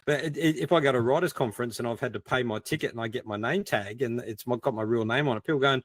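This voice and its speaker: A man's voice: mid-range voice, fast, no echo. A middle-aged man, chatting casually and telling anecdotes in a self-deprecating way. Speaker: podcast co-host and crime writer.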